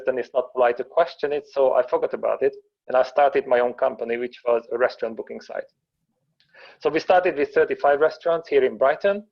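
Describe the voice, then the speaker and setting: A man presents in a webinar, his voice sitting at a mid pitch for a man. He explains in an even, storytelling way, and the video call audio sounds dull and muffled. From Hungary. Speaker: guest presenter.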